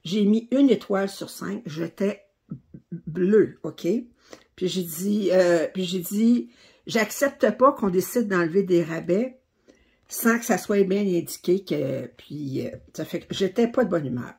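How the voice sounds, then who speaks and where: A middle-aged woman with a Québécois French accent chats to her viewers in a low, husky voice. She rambles along with plenty of fillers and restarts, in the loose way of a casual craft vlog. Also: lively intonation, a little slow, darkish tone. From Quebec, Canada. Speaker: craft channel host. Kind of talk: casual chat to camera.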